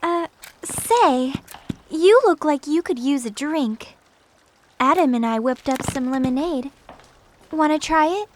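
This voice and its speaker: Woman acting a teasing young lady, high voice, unhurried, playful, polite, audio drama. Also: expressive, slight background noise, rough recording. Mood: friendly.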